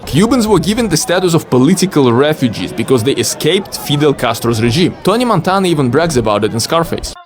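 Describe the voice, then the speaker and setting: Lively non-native English-speaking man narrating. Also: a little high, fast, hardly any pauses. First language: not English. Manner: playful, wry asides. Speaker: video creator and game modder. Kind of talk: scripted voice-over narration of a game mod showcase.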